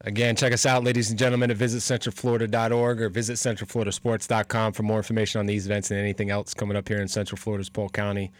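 A man's voice: fairly low pitch, flat delivery, rapid-fire, hardly any pauses, no room sound. A man hosting a radio sports show, chatting casually, joking and reading out local event listings in a studio. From New Hampshire.

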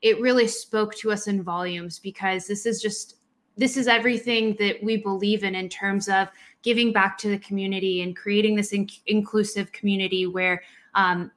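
A woman's voice: mid-range voice, fairly even intonation, moderate pace, fairly bright tone. Podcast co-host, woman, middle-aged, Spanish native speaker, friendly chat, lots of ums. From Colombia.

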